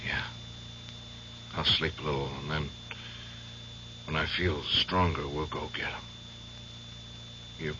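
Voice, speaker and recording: An actor playing a bitter, obsessed and worn-out war veteran in a radio drama, speaking in an intense, halting way. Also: male voice, low voice. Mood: exhausted, pleading. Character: bitter, obsessed, exhausted war veteran piano player seeking justice. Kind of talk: 1970s radio drama acting. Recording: dull old radio recording, faint hum.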